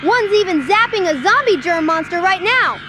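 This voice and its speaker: A woman's voice: extremely high pitch, lively intonation, moderate pace, music in the background. A woman voicing a cocky, spirited young kid fighter in a comic dub, with a brash manner. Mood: cocky, pleased.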